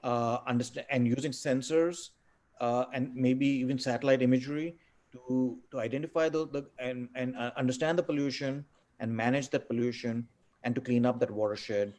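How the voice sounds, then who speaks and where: Guest speaker, man from India, Tamil native; warm low-key tone, halting delivery full of restarts, webinar call. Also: steady tone. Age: middle-aged. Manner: halting, many fillers and repeated words. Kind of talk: webinar Q&A answers.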